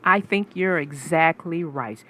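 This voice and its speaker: A woman narrating a children's storybook aloud as host, expressive and sing-song, then chatting about the story. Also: sits low, measured pace.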